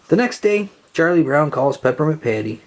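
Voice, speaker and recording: A narrator reading a children's story aloud in an expressive way, acting out the dialogue. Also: mid-range voice, moderate pace. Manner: animated, acts out dialogue.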